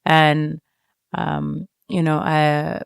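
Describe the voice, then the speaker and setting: A woman's voice: low voice, completely flat delivery, extremely slow, vocal fry, clear, resonant tone, dry recording, clean studio sound. A woman from Jamaica who came to America as a child, answering interview questions reflectively, feeling her way through personal thoughts. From Jamaica, moved to America as a child. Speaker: student writer, interview guest.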